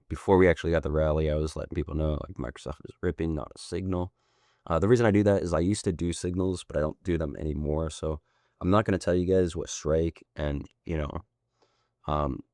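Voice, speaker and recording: A man working as a trading mentor, hoarse from being sick, walking through a chart in a loose, rambling way with frequent tag questions. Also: extremely low pitch, darkish tone, no echo, clean studio sound. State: hoarse, sick and losing his voice. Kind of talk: casual chart walkthrough.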